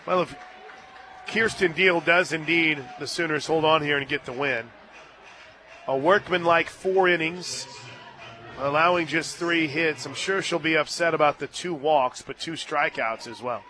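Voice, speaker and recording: High bright-voiced radio play-by-play man. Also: measured pace. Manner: rises to excited shouts on big plays, laughs easily. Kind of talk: live radio sports play-by-play. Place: stadium radio booth.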